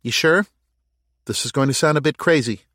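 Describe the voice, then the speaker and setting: Male narrator as earnest thoughtful woman, raised pitch, tentative questioning delivery, audiobook dialogue, dry sound. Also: moderate pace, fairly bright tone, dry recording, lightly acted. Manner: tentative, questioning, thinking aloud. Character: earnest, thoughtful woman reasoning through a mystery.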